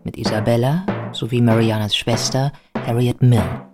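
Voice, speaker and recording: Measured woman narrator over clear music. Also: very deep voice, steady tone. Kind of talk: scripted radio play narration.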